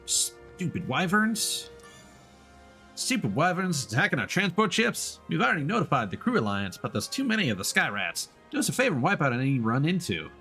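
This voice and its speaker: A man's voice: fairly high pitch, wildly swooping pitch, brisk, sharp tone, voice pushed up, exaggerated voice. A man voicing chatty, dramatic townsfolk from a fantasy video game, reading their dialogue aloud with exaggerated delivery. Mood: exasperated. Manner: theatrical, exaggerated. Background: video game music.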